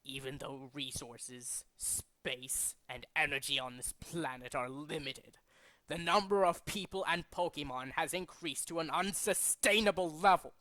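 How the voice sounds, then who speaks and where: A man voicing a grandiose, zealous villain leader in a video game, speaking in a deliberate, pompous, theatrical way. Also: on the high side, sharp tone, dry recording. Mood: grandiose, grim. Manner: deliberate, dramatic, pompous declaiming. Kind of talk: theatrical video game character demo reel.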